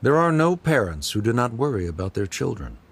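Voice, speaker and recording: Formal middle aged scholar dad in a game, proud but awkward, voice moves around a lot. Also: male voice, mid-range voice, very animated, brisk, slight background noise. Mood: reflective, reserved. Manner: formal, stiff, hesitant, precise wording. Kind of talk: video game voice acting.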